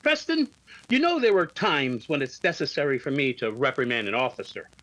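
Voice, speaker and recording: A stern commanding officer acted by a man with strongly varied pitch. He is formal and authoritative, measuring out his words like a superior in a 1950s radio police drama. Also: very animated, brisk, background noise, lightly acted.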